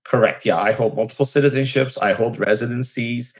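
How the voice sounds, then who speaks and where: A man's voice: mid-range voice, brisk, husky, no echo. A man who works as an immigration attorney, telling anecdotes as a podcast guest with frequent "uh" fillers. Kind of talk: casual podcast interview.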